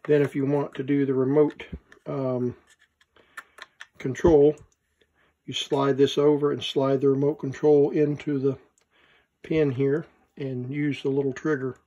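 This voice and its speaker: A man who collects vintage gear gives a casual, unscripted hands-on demonstration, thinking aloud in halting short fragments. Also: mid-range voice, level tone, mellow, dull audio. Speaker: vintage electronics collector presenting his item.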